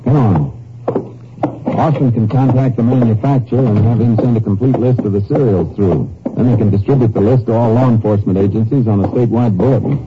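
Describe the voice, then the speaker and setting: An actor playing a steady, businesslike Texas Ranger in a 1950s radio drama, terse and matter-of-fact as he talks and narrates. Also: lowish voice, brisk, heavy background noise, lightly acted. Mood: businesslike, focused. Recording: dull old radio recording with music.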